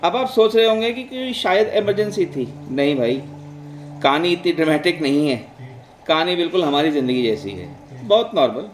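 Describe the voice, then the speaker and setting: Guy with a higher voice doing reflective storytelling, chatty, drops english words in, roomy echo. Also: very animated, normal speed. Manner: addresses listeners directly, mixes in English words. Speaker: vlog host and storyteller. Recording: roomy, echoing sound.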